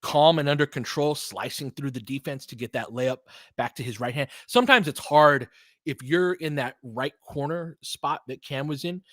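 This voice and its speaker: A man's voice: fairly high pitch, moderate pace, a bit bright, dry recording, studio quality. A man doing live basketball play-by-play and analysis in a conversational, slangy way, explaining tactics in detail.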